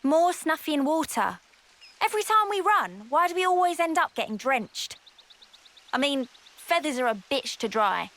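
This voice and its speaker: A woman's voice: high-pitched, very animated, moderate pace, a bit bright, heavily acted. A woman voicing a feisty, blunt young female fighter in a video game, speaking informally. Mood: grumbling. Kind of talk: video game cutscene dialogue.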